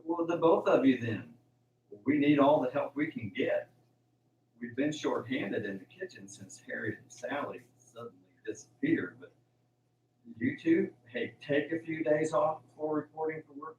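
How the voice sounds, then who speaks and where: A man's voice: a little high, animated, normal speed, darkish tone, lightly acted. A man playing a grateful, genial farm manager in an amateur radio drama. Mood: genial, businesslike. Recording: dull, echoey, distant mic with hum.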